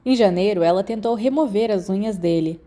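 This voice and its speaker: Mellow Brazilian woman narrating fiction. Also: medium pitch, brisk. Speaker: podfic narrator. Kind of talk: scripted narration, fiction audiobook.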